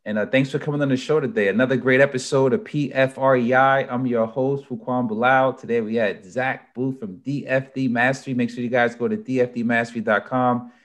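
A man hosting a podcast, thanking his guest and wrapping up the interview in a relaxed, friendly way. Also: medium pitch, fairly quick, few pauses. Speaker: podcast host.

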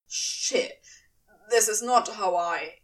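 A woman's voice: mid-range voice, very slow, far from the mic, rough recording. A woman playing a guilty, flustered male demon in audio fiction, stammering and thick-voiced as he confesses. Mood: panicked. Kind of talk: audio fiction character acting.

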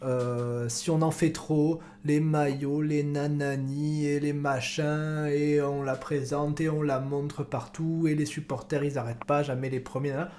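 Rambling podcast host, upbeat. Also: male voice, on the high side, little pitch movement, measured pace, hardly any pauses, darkish tone, slight background noise. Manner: rambling, repeats words, jokey. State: recovering from illness. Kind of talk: casual chat.

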